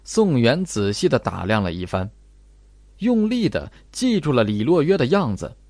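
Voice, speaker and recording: Animated male audiobook narrator. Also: medium pitch, moderate pace, dry recording. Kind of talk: dramatic scripted mystery narration.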